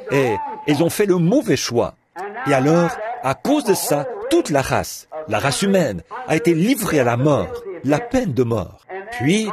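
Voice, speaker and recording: A man delivering a translated sermon in a lively preaching cadence, repeating words as he goes. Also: a little high. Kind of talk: French reading of a translated sermon.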